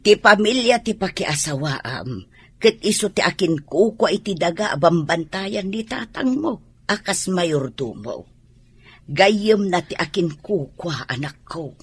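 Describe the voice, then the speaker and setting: A middle-aged Ilocano woman's husky voice with lively swings in pitch. She plays a concerned village mother in a radio drama, resigned to tradition. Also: measured pace, no room sound, exaggerated voice.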